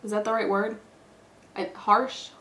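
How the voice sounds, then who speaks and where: A young woman with a hoarse, congested voice, sick with a cold, chats loosely to camera and fills her talk with "like" and "you know." Her middling-pitched voice carries a noticeable room echo. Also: level tone, unhurried, sharp tone, a little hiss or hum. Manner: rambling, filler-heavy, lots of "like" and "you know". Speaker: beauty vlogger. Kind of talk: casual chatty get-ready-with-me talk. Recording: echoey room.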